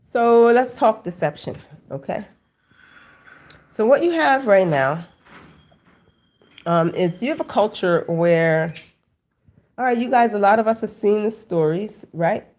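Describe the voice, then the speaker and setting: A woman's voice: medium pitch, animated, measured pace, pauses often, darkish tone. A woman in her thirties hosting a casual talk for her viewers. She has a cold and sounds stuffy and sniffly, and she talks in a loose, chatty way full of "like" and "um". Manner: chatty, lots of "like" and "um", addresses viewers as family. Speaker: host.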